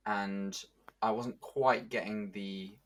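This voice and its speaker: A man's voice: on the low side, steady tone, slow pace. A man asking casual interview questions, drawing on his own experience as a voice actor.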